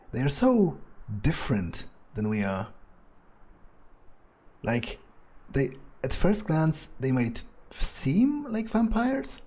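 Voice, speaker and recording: A man's voice: medium pitch, a little mellow, heavily acted. A man playing a cocky, cruel, foul-mouthed vampire who muses aloud in a video game roleplay. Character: cocky, cruel male vampire player character, musing aloud. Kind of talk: in-character game roleplay monologue. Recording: clear background noise.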